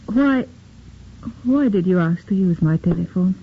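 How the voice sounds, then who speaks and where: A woman's voice: on the low side, lively intonation, unhurried, breathy edge, exaggerated voice. An actress playing a polite, curious, probing young woman in 1950s radio drama, on an old radio recording. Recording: dull, faintly noisy old radio recording.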